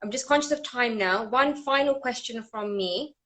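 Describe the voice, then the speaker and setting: A woman's voice: on the high side, normal speed. Enthusiastic woman interviewer. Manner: warm, enthusiastic, restarts often. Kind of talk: casual conversational interview.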